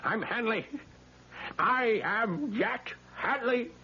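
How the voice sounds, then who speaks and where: Frantic, high-pitched desperate man in old radio drama. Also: animated, slow, pushed and bright, slightly rough, full character voice. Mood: desperate pleading. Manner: pleading, agitated. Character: desperate man insisting his body was stolen. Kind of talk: 1970s radio drama acting. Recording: muffled noisy old radio broadcast with hum.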